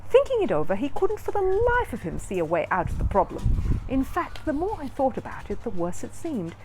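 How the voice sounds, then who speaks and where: A woman storyteller narrating a children's book in an expressive read-aloud style. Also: high-pitched, fairly quick, background noise.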